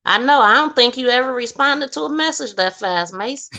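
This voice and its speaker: A woman from Ohio with a bright, ringing voice, talking bluntly and laughing easily as a guest in a casual conversation. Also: high-pitched. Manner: blunt, outspoken, laughs often.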